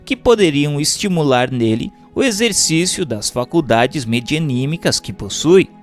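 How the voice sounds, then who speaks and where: A Brazilian man reads a formal text as a scripted narration, in a measured and carefully phrased manner. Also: a little high, expressive, faint music behind. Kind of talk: scripted audiobook-style reading of a doctrinal text.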